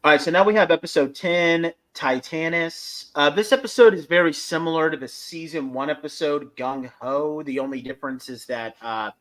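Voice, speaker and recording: A man's voice: a little high, moderate pace, hardly any pauses, fairly bright tone. A man hosting a podcast, giving casual review commentary and recapping step by step in a hesitant way. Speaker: podcast host.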